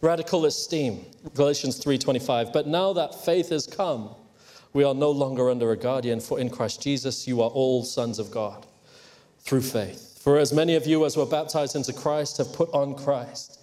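A man's voice: medium pitch, moderate pace, warm tone. A man from Hong Kong preaching a sermon emphatically, teasing his congregation at times. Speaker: pastor. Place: church. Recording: long hall reverb.

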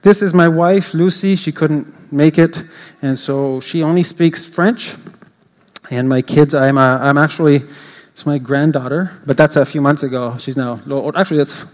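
A man's voice: fairly high pitch, normal speed, darkish tone. Older Canadian man speaking in a church hall. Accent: English Canadian. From English Canada. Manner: chatty, rambling, with frequent restarts and fillers. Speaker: guest speaker. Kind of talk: informal church talk.

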